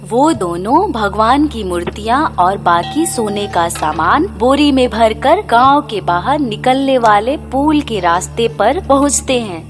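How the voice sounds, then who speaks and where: A woman reads a scripted children's tale as narrator. Her voice is somewhat high and she takes it very slowly. Faint music plays underneath. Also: lively intonation. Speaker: story narrator.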